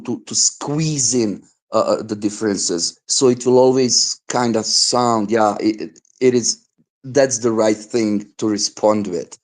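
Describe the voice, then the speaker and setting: A man whose first language is Bosnian, joining a casual talk show conversation and speaking haltingly with many fillers and repeated words. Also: medium pitch.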